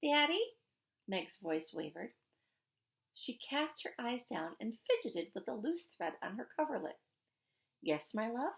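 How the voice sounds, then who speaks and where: A woman reading a storybook aloud in an expressive narrating style. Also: mid-range voice, measured pace, gravelly. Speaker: storybook reader.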